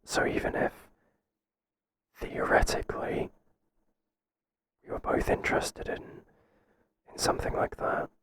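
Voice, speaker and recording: A man acts out a male boss in an intimate ASMR roleplay. He whispers throughout in a breathy, halting way. He comes across as flustered and professional, kind but firm. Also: lightly acted. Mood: apologetic, uneasy. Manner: hesitant, halting, gently firm.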